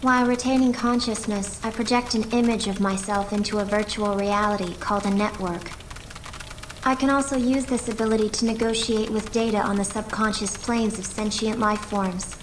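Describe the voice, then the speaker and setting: An earnest young female scientist played by a woman in a video game, with a medium-pitched voice. She explains things precisely and with reserve, over faint background noise. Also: steady tone, moderate pace, lightly acted. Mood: explaining, serious.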